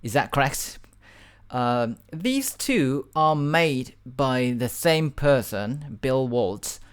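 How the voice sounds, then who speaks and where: Unhurried speaker. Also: mid-range voice, lively intonation, clear, resonant tone. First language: Japanese. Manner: hesitant, halting, with repeated words and fillers. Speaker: video reactor. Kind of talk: casual reaction commentary.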